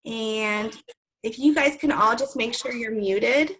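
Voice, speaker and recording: A woman's voice: on the high side, slow, a bit bright, husky. A young woman with a worn, recovering voice, presenting an online conference session with many ums and friendly asides. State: voice worn from recent illness. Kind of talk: webinar presentation.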